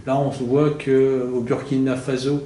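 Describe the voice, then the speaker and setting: A man's voice: medium pitch, little pitch movement, measured pace, warm tone, reverberant, slight background noise. A man interviewing a guest, asking long questions hesitantly with many fillers.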